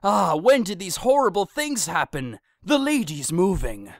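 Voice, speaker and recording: A woman's voice: on the low side, very animated, a little slow, no room sound, studio quality. A woman playing an anxious, kindly old friar in an audio drama, fretful and exclamatory. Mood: alarmed, horrified. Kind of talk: audio drama acting of modernized Shakespeare.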